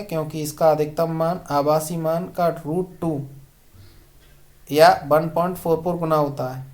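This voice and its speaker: Coaching-class tutor, mid-pitched voice, methodically reading out quiz questions with short, clear-cut answers. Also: darkish tone. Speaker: exam coaching teacher. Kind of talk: question-and-answer lesson read aloud.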